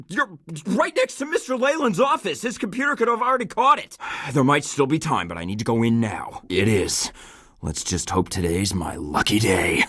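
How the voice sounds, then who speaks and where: A cocky young secret agent from a video game, tossing off dry sarcastic one-liners. The voice sits low, deep in the chest, and the read is close and dead dry with no room around it. He sounds unbothered, a wisecracking spy. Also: no echo. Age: young adult. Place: recording studio booth. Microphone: close studio mic.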